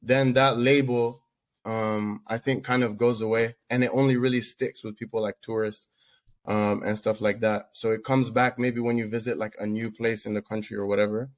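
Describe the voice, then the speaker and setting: A man's voice: on the low side, normal speed. A man from the Netherlands co-hosting a podcast, telling stories casually with slangy asides. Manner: animated storytelling with slangy asides. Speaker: podcast co-host.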